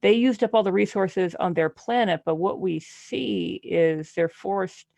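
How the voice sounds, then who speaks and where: Female panelist, creaky voice, close dry sound, analytical casual book talk with deadpan humor. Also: medium pitch, measured pace, no room sound. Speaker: podcast panelist. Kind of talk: casual book club discussion.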